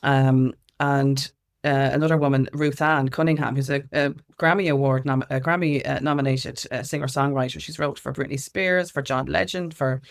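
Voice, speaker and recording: A woman's voice: very deep voice, steady tone, normal speed. A middle-aged woman with an Irish accent explaining things in an interview, emphatic and repeating phrases for weight. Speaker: interview guest, music consultant and activist.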